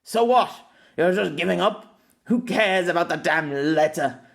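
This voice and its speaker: A man playing a rugged, stubborn old rogue swordsman who talks gruffly. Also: high-pitched, unhurried, voice pushed up. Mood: defiant.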